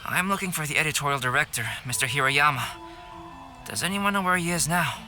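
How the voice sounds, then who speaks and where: An actor playing a calm, analytical young male artist in a video game, speaking in a measured, formal, polite way. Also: on the high side, music in the background, close to an everyday voice.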